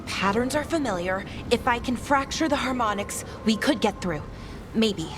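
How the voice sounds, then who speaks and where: An actress playing an eager young elven tinkerer who talks in a quick, nervous, excitable way in a video game cutscene. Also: female voice, on the high side, lightly acted. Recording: game audio with music.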